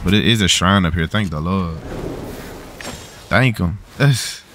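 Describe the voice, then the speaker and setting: Gamer guy w a bit of a cold, low voice, swears a lot, game music going behind. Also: unhurried. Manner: slangy, swearing, talking to the game. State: getting over a cold, slightly rough throat. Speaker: gaming video host. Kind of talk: casual gameplay commentary.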